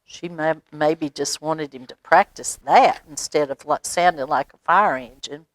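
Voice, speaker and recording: A woman's voice: sits low, no room sound, rough recording. A woman reading a picture book aloud to children as a library storyteller, stopping for asides and questions. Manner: expressive, pauses for asides and questions to young listeners.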